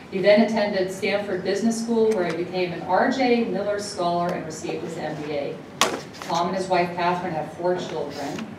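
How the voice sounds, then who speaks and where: A woman whose first language is Dutch gives a formal introduction at a university event, pausing often with filler sounds and restarts. Her voice sits on the low side and is picked up from a distance in a boomy hall, with steady background noise. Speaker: state assemblymember hosting a speaker. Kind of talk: formal speech introducing a guest. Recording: echoey hall, distant mic, noisy.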